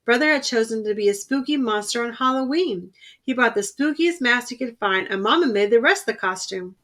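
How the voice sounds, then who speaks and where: A woman reading a children's picture book aloud in an expressive storytelling style. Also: on the high side, brisk. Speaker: storybook reader.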